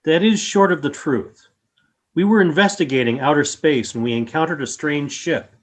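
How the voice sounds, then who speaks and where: Man acting a calm, higher-pitched alien creature. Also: close to an everyday voice. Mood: grave, measured. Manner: formal, measured. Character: calm, grave alien creature speaking of its peaceful species. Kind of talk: fan radio play acting.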